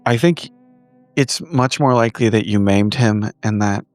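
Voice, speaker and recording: A man playing a weary, evasive government agent, halting and grim, in a tabletop roleplaying game. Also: lowish voice, darkish tone, dry recording, close to an everyday voice.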